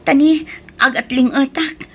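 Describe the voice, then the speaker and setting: A woman's voice: sits high, fairly even intonation, unhurried. A woman with an Ilocano accent acting in a radio drama as a humble, anxious housemaid, deferential and pleading. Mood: frightened. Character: humble, anxious young married housemaid, fearful but resourceful. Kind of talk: Filipino radio drama acting with inner monologue. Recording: noisy radio drama recording.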